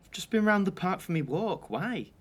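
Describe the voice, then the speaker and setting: A man's voice: high voice, animated, normal speed, fairly bright tone. A man with a Northern English accent playing a flippant, defensive young boyfriend in a modern audio drama, colloquial and self-justifying. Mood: breezy, evasive.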